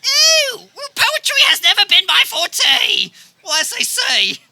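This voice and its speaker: A woman playing a dim, cowardly, excitable male suitor in a live radio farce, with a hesitant, literal-minded delivery. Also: very high voice, creaky, no room sound, heavily acted. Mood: flustered, pleased. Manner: hesitant, literal-minded, exclamatory, drawn-out words. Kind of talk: comic radio theater acting before a live audience. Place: backyard, before a live audience. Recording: noisy live backyard recording.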